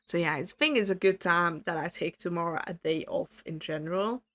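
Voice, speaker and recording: A woman streamer chatting casually with her viewers, her voice worn hoarse from talking too much, rambling and self-deprecating. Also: fairly low pitch, brisk, fairly bright tone.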